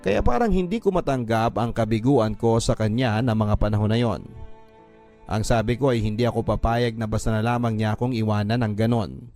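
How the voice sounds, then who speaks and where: A man's voice: lowish voice, a little fast, a little mellow. A man narrating a first-person story in a sentimental, dramatic radio drama style. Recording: music bed behind the voice. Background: music.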